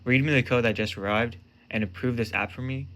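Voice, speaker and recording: A man's voice: medium pitch, fairly even intonation, brisk, a bit bright. A man presenting a scripted explainer in short, emphatic phrases.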